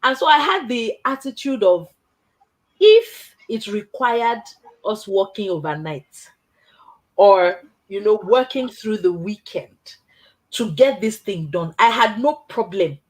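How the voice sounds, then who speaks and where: A woman hosting a casual career chat, telling stories in an animated way, laughing often and tagging her points with "right?". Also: medium pitch, takes it slowly, low-quality recording. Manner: emphatic, tags points with "right?". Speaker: host.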